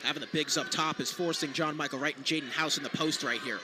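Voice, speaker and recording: Man, high voice, basketball color commentator, analytical, detailed, noisy live arena broadcast. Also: fast talker. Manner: analytical, explains plays in detail.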